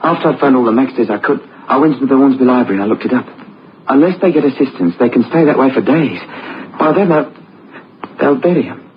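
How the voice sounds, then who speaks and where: A man's voice: mid-range voice, fast, slight background noise, close to an everyday voice. An actor playing an anguished, guilt-ridden British husband in an old radio play, agitated and hesitant in his delivery. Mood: anguished confession. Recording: muffled old radio recording with music.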